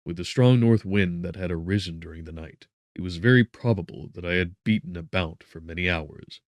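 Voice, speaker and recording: A man reading a gothic audiobook in a solemn, measured, dramatic way, with brooding pauses. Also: deep voice, no room sound, studio quality. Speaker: audiobook narrator. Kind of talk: dramatic scripted narration of gothic literature.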